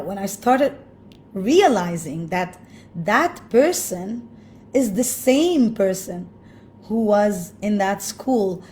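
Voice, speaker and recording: A woman from Lebanon telling a personal story in a reflective way, pausing often with fillers as she thinks. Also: medium pitch, mellow, slight background noise. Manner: thoughtful, with frequent pauses and fillers. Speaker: speaker sharing personal story.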